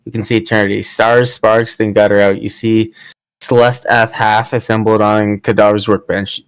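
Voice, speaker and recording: A man's voice: on the low side, little pitch movement, moderate pace, clear, resonant tone, dry recording. A man reading scripted fantasy story narration aloud as a player in a party game.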